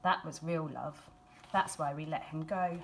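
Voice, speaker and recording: A woman reads a children's storybook aloud expressively, in a measured storytelling manner with dramatic emphasis and hushed phrases. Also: low voice, fairly even intonation, gravelly, slight background noise. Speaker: school staff member reading a picture book aloud.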